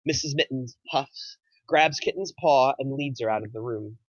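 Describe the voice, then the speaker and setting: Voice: medium pitch, moderate pace, no echo. A narrator reading out stage directions from a film script at a table read. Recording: dry but dull, muffled audio.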